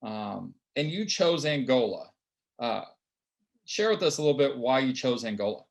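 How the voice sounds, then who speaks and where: A man hosts a basketball interview. He asks questions in a halting way, with many "uh"s and repeated words, in an ordinary mid-pitched voice. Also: sing-song, vocal fry. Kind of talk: interview host.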